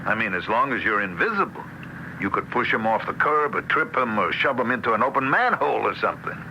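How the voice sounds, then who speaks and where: An actor playing a plain-spoken, weary husband in a radio drama, blunt and conversational. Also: male voice, medium pitch, animated, a little fast, sharp tone, dull audio. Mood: exasperated. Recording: old radio recording, faint noise.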